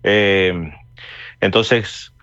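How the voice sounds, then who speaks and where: A man from Corrientes with an Argentine accent chats casually as an interview guest, haltingly, often repeating his phrases. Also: low voice, steady tone, fairly bright tone, clear, resonant tone. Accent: Argentine Spanish. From Paso de los Libres, Corrientes, Argentina. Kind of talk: casual chat. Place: remote call into a radio show. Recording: roomy, dull remote call.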